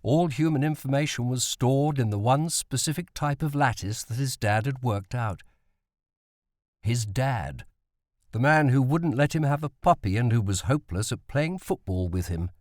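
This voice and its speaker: Expressive man narrating a novel. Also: medium pitch, normal speed, no room sound, clean studio sound. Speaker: audiobook narrator.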